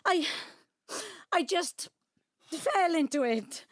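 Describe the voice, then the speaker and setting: A very high-pitched, distraught daughter. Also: female voice, lively intonation, drawn-out words, no echo, clean studio sound, exaggerated voice. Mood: distraught, pleading. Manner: pleading, distraught. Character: defensive, guilt-ridden adult daughter hiding a secret. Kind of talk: emotional audio drama dialogue.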